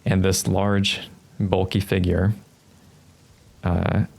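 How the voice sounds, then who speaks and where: The deep, crackly man behind the screen at game night, pausing to think as he paints the scene for his players. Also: level tone, vocal fry, darkish tone. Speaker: game master. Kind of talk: narrating a tabletop roleplaying game, improvised.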